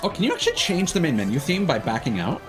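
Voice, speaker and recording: A man casually commentating on a livestream, thinking aloud in a rambling way with hesitant pauses. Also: fairly high pitch, huge pitch swings, over music. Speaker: game livestreamer.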